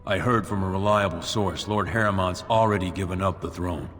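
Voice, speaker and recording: Blunt, low-voiced dwarf warrior. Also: male voice, fairly quick, a bit bright. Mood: guarded. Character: plain-spoken, cautious dwarf warrior, principled and wary. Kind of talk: video game character acting. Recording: long reverb, music behind.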